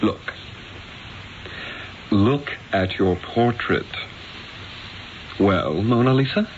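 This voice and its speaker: An obsessed Renaissance painter in a radio play. The voice is fairly low and unhurried, rapturous and musing in a theatrical way. The recording is old and muffled. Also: male voice, animated, a little hiss or hum. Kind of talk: radio drama acting and reflective first-person narration.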